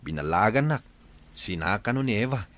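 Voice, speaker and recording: A man's voice: on the low side, lively intonation, normal speed, a bit bright. A man with a Filipino Ilocano accent playing a philandering, evasive husband in a radio comedy drama, sly and easily flustered. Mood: confiding, worried. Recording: music under the voice.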